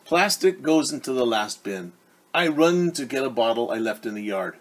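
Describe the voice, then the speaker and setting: A man's voice: medium pitch, lively intonation, normal speed. A man in his forties reading a picture book aloud as a teacher, stopping to explain things simply and ask the children questions. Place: living room at home, indoors. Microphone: device camera at about arm's length.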